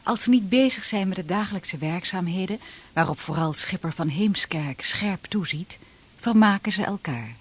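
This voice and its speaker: A man's voice: sits high, lively intonation, moderate pace, breathy edge. A man narrating a radio drama from a script, telling the story in a dramatic style.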